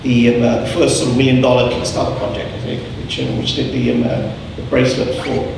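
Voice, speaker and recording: A man hosting an event, introducing the next speaker in halting speech with many fillers. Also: mid-range voice, flat delivery. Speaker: event host. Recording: far-off mic in echoey hall with noise.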